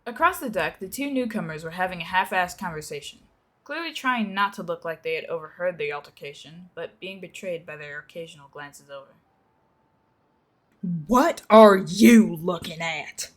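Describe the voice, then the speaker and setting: A woman's voice: on the low side, lively intonation, a little fast, bright, forceful tone. A young woman narrating a dramatic reading of a fan-fiction story. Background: music.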